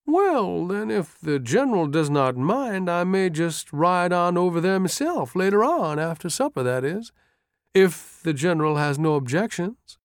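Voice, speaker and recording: A man's voice: high-pitched, very animated, measured pace, clean tone, no echo, studio quality, pitched above the performer's own voice, full character voice. A man voicing a lean army sergeant in an audiobook, wheedling and deferential in a folksy way. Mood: hopeful. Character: coaxing, grinning, lean army sergeant, male aide.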